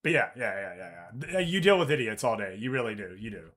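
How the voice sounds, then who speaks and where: A man streaming a live game session, chatting casually and telling a work story with swearing and mimicked voices. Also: fairly quick. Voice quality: deep voice. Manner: animated storytelling, swears, reads chat aloud. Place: office.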